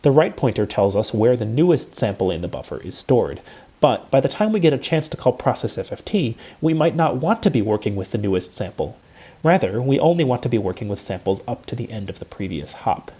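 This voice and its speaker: A man giving a scripted technical lecture, explaining in a measured and precise way. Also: mid-range voice, sing-song, dark tone, breathy edge.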